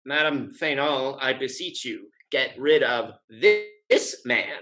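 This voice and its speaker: An actor playing a witty, lovesick gentleman suitor in a Restoration stage comedy, speaking in a formal, wry, sententious way. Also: mid-range voice, animated, slow pace, soft background music. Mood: sardonic.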